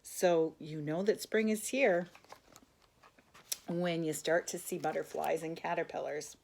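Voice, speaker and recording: An older woman reading a children's storybook aloud in an expressive way, as a grandmother would to young listeners. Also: low-pitched, a little slow, gravelly, slight background noise. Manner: expressive, asks the listeners questions.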